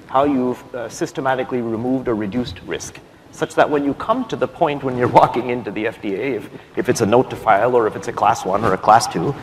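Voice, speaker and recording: Man answering on a conference stage. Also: mid-range voice. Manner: thoughtful, with many fillers and restarts. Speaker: panelist, software developer. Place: large conference hall stage. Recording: long hall reverb, faint noise.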